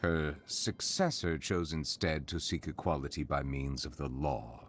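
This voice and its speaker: A man playing a formal, reserved and courteous chief justice in a video game, speaking in a measured, eloquent, solemn way. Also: extremely low pitch, slight background noise. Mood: grave, reflective. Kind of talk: scripted character voice lines.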